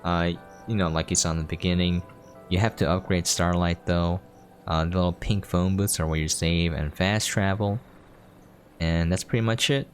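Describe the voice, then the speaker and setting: A man's voice: deep voice, steady tone, normal speed, soft background music. A man casually explaining a game as he plays, in an offhand, explanatory way. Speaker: game preview host. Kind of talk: casual gameplay commentary.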